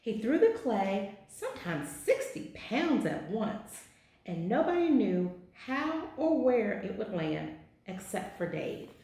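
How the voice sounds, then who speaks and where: A woman in her forties reading a children's picture book aloud with deliberate, expressive phrasing in an office. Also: medium pitch. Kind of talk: children's storybook read-aloud. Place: small indoor office. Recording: very echoey, roomy sound.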